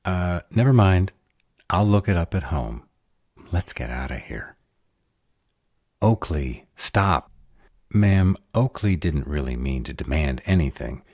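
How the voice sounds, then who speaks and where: Man playing a very deep, sardonic were-dragon. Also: animated, takes it slowly, pauses often, a little mellow, no room sound, clean studio sound, heavily acted. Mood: flustered. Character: male were-dragon, grumbling, sarcastic yet protective lover. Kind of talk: audio roleplay acting.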